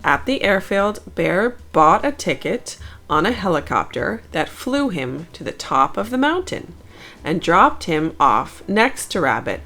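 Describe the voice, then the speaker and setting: Female children's read-aloud narrator, mid pitch, slow and lively, light music bed. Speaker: storybook narrator, channel host.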